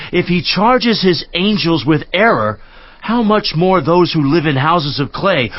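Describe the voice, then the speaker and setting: A man playing a stern, admonishing counselor from scripture, speaking in an emphatic, rhetorical preaching manner with a husky voice. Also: fairly high pitch, no room sound. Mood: grave warning. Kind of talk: dramatized scripture reading, theatrical. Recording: slightly muffled audio.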